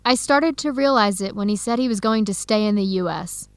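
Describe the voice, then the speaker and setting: An actress playing an earnest young researcher who confides carefully and clearly in an English-learning radio drama. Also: female voice, mid-range voice, fairly quick, no echo, close to an everyday voice. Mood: sad, hopeless.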